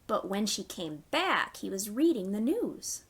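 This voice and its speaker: A woman hosting a storytime for young children, reading stories and giving simple, clearly phrased instructions. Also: medium pitch, big pitch swings, measured pace. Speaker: children's library storytime host.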